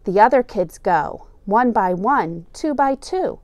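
A middle-aged woman teacher reading a children's picture book aloud in a deliberate, expressive storytelling manner. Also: mid-range voice, dry recording.

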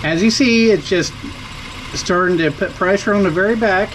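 A man's voice: high voice, moderate pace, fairly bright tone, slight background noise, rough recording. A man hosting his own channel, casually demonstrating car ramps outdoors in a folksy, plain-spoken, step-by-step way. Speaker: channel host reviewing tools.

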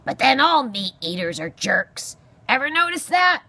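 A woman's voice: high-pitched, big pitch swings, a little slow, bright, forceful tone, voice pushed up, full character voice. A woman voicing a grumpy old male porcupine in a storybook, barking scornful insults in a growling bluster.

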